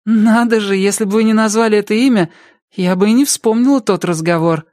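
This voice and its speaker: A woman's voice: mid-range voice, a little fast, darkish tone, dry recording, clean studio sound, voice pushed up, natural voice. A woman voicing a wary, firm mother who doubts what she is told, in an audiobook reading. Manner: skeptical, firm.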